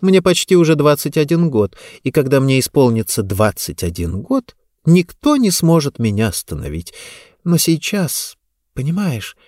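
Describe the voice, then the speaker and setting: A man's voice: fairly high pitch, very animated, normal speed, darkish tone, no room sound. A sheltered, dreamy young woman in an audiobook romance, voiced by a male narrator. She is earnest and coaxing, her phrases swinging up and down with feeling, recorded close and dry. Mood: pleading.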